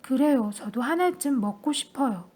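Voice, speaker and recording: A woman's voice: high voice, animated, normal speed, a little mellow, slightly rough. A woman voicing a cheerful middle-aged father who marvels with amusement in an audiobook story. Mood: amused.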